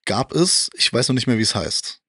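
Husky man in casual chat. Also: mid-range voice, expressive, moderate pace, a bit bright, no echo, studio quality. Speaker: guest on a retro talk show. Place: small indoor room lined with media shelves. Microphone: handheld microphone held close.

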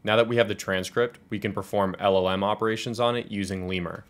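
Low-voiced young American man explaining code. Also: steady tone, quick pace. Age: 20s. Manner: explanatory, step-by-step. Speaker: tutorial presenter. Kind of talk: scripted software tutorial walkthrough.

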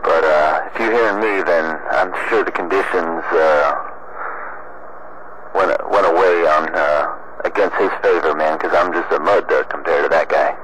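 A man's voice: sits low, steady tone, moderate pace. A man chatting casually as a CB radio operator, heard over a radio transmission. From United States. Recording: very noisy CB radio reception. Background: loud radio static.

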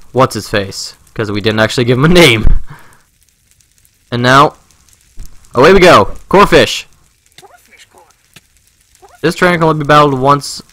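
A man's voice: medium pitch, sharp tone, no room sound, rough recording. A man giving casual, informal let's-play commentary, rambling and thinking aloud into a close microphone. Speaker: gaming video host.

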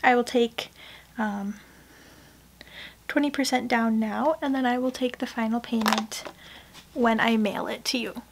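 A young woman with a high voice plays a courteous portrait artist in an ASMR roleplay. She is soft-spoken and polite, as if attending to one client at close range. Some ambient noise sits behind her in a small indoor room. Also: fairly even intonation, unhurried, creaky, long pauses. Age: young adult. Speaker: ASMR creator playing a portrait painter.

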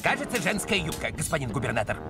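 A man playing a brisk, dutiful governor's officer in a cartoon, deferential and clipped. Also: a little high, very animated, over music. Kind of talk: animated cartoon acting.

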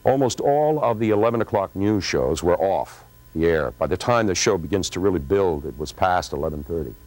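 Low, dry-sounding American man in his fifties. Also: animated, fairly quick, darkish tone, dry recording, rough recording. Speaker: former radio producer recalling a broadcast. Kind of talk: interview recollection. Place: TV studio with curtain backdrop.

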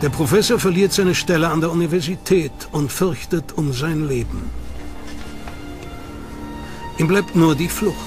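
A man narrating a documentary in a measured, dramatic manner. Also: on the high side. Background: music.

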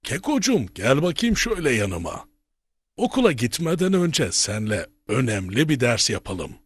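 A man voicing a kindly old grandfather in a children's cartoon, telling tales in a husky, folksy, theatrical way. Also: medium pitch, sing-song, normal speed, dry recording. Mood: kindly, coaxing.

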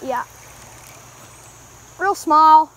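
A woman's voice: high-pitched, extremely slow, a bit bright. Woman, casual outdoor fishing vlog, handheld phone by a creek. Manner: chatty, easygoing, talks to viewers. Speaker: fishing vlogger. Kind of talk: casual running commentary while fishing. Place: outdoors by a wooded mountain creek. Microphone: handheld phone camera.

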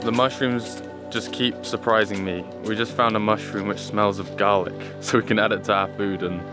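A man's voice: on the low side, fairly even intonation, normal speed. A man in his twenties hosting a vlog, talking and narrating casually. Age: 20s. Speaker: vlog host. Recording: music behind the voice.

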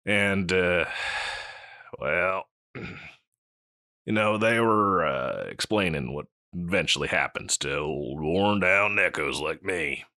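A man playing a scruffy, insecure slacker catboy pet in a comedic audio roleplay, with a halting, mumbly dude drawl. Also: low-pitched, lively intonation, pushed and bright, dry recording, clean studio sound, exaggerated voice. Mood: sheepish, insecure.

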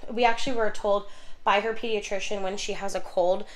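Chatty young mom with a cold. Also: female voice, medium pitch, fairly even intonation, brisk. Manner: chatty, rambling, many ums and likes. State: getting over a cold, voice going. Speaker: mom vlogger reviewing a stroller. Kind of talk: casual product review. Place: bedroom nursery at home. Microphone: handheld phone camera, then a camera set on the floor.

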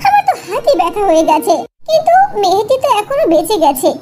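A woman's voice: very high voice, big pitch swings, fast. A woman voicing a carefree, lazy but kind young fairy in a chatty, exclaiming cartoon manner. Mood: breezy complaining. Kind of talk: Bengali fairy-tale cartoon acting. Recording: noticeable background noise.

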